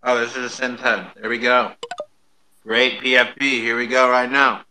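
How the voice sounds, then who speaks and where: A man's voice: medium pitch, little pitch movement, normal speed, bright, forceful tone, slight background noise, poor audio. A man hosting a casual live chat in an informal way. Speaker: host.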